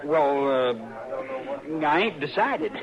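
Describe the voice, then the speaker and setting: An actor playing a scared, shifty young drifter in a 1950s radio drama, stammering and hesitant, with rural grammar. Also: male voice, fairly high pitch, lively intonation, very slow. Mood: nervous. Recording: lo-fi old radio broadcast, saloon crowd behind.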